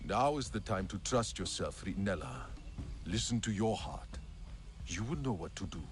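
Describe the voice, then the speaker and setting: A man's voice: on the low side, expressive, moderate pace, close to an everyday voice. A man playing a wise, reassuring alien clan leader in a video game cutscene. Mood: solemn, reassuring.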